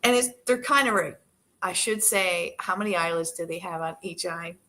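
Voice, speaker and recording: Soft-spoken woman chatting on a livestream. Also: on the low side, normal speed. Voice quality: soft, gentle voice that trails off. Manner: hesitant, many fillers and restarts. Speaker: livestream host with her dogs. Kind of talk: casual live chat to viewers. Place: living room, on the sofa with dogs.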